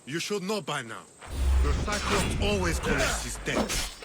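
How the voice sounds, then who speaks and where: An actor playing a threatening, forceful male henchman in a video game. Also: sits high, expressive, measured pace, very noisy. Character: menacing male debt-collecting thug. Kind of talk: video game character acting.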